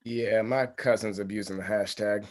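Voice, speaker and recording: A man's voice: lowish voice, steady tone, normal speed, lightly acted. A man acting in a table read as an easygoing but evasive young groom, hesitant and placating in a casual way. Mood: awkward, easygoing.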